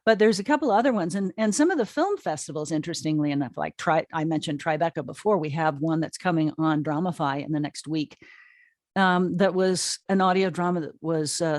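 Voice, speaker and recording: A woman's voice: lowish voice, a little mellow, dry recording, studio quality. A middle-aged American woman talking casually and emphatically as an interview guest, often restarting her sentences. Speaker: interview guest, audio drama producer and writer. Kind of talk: casual conversational storytelling in an interview.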